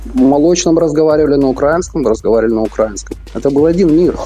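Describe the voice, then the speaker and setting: A man's voice: a little high, quick pace, warm tone, soft background music. A middle-aged man from Ukraine, talking earnestly on a live radio interview by phone, repeating his phrases with hesitant fillers. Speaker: interview guest. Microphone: phone link.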